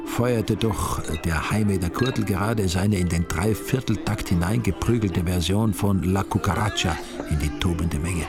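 An actor as a wry, ironic storyteller narrating a radio drama. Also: male voice, low voice, normal speed. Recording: loud music behind.

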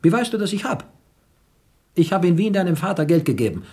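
Husky, grumbling old uncle. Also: male voice, high voice, big pitch swings, fairly quick, darkish tone, heavily acted. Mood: indignant. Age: older. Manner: grumbling, swinging into indignant outbursts. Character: older uncle, grumbling, tight-fisted, excitable family patriarch. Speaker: radio drama actor. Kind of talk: German radio play dialogue.

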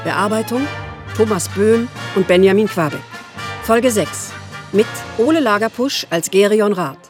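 A woman reading scripted radio drama credits in a measured, formal manner. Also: mid-range voice, over music.

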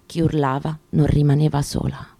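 A woman giving a deliberate, declamatory dramatic reading of a first-person memoir, in short phrases with pauses. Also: low voice, level tone, gravelly. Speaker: actress giving a staged reading. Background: acoustic guitar playing.

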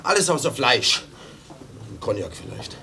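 An actor playing a furious, rattled, coarse-tongued driver in a German radio play. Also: male voice, medium pitch, very animated, normal speed, sharp tone. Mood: brusque. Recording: faintly noisy old cassette radio recording.

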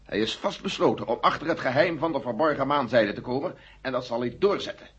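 A man's voice: medium pitch, lively intonation, fast talker, slightly rough. An actor playing a blunt, sceptical male general with a firm, matter-of-fact delivery in a radio drama. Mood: resigned, insistent. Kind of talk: 1970 Dutch radio drama acting. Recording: muffled old 1970 radio recording.

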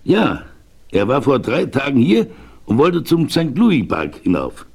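A man's voice: medium pitch, huge pitch swings, measured pace, gravelly, breathy edge, exaggerated voice. A man playing a polite, concerned townsman who greets a visitor in a courteous, formal way. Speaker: host receiving a visitor. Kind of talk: 1970s German radio play acting.

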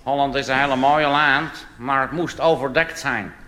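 A man's voice: medium pitch, steady tone, moderate pace, heavy background noise, pitched below the performer's own voice, lightly acted. A man voicing a chatty English-speaking male tourist who mixes English words into clumsy Dutch grammar. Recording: reverberant live hall with music.

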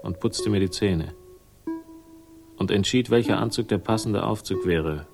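An actor playing a world-weary, sardonic crime narrator in a late-sixties radio drama, speaking in a dry, laconic way. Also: male voice, lowish voice, measured pace, creaky. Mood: dry, resolute. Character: weary, battered, sardonic male crime-story narrator bent on revenge. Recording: 1960s radio production, music behind.

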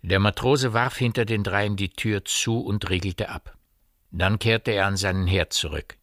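A man's voice: on the low side, unhurried, a bit bright, no echo, clean studio sound. A man narrating a literary radio play as a scripted storyteller.

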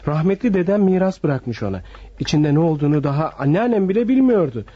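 An actor playing an excitable, scheming young grandson in a radio play, muffled, with a noisy crowd behind him. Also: male voice, a little high, quick pace, slightly rough. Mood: confiding. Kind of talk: radio theatre acting.

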